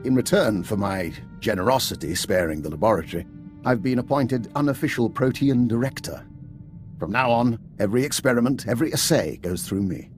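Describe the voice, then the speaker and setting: An actor playing a composed, calculating, self-serving older scientist who speaks formally and precisely in a video game. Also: male voice, lowish voice, expressive, fairly quick, faint music behind, natural voice. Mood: smooth, calculating.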